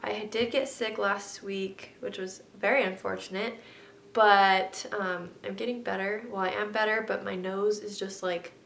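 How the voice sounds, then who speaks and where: A woman's voice: steady tone, unhurried, vocal fry, a bit bright. A young woman vlogger with a stuffy, congested nose, chatting casually in a sit-down video and filling her talk with ums and likes. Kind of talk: casual sit-down vlog chat. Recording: echoey room, faint rumble.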